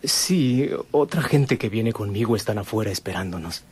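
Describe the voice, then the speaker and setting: A man's voice: lowish voice, animated, moderate pace, darkish tone, husky. A man playing an earnest, remorseful young lover, grave and emotional, in a radio melodrama. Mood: remorseful, tender. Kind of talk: melodramatic radio drama acting.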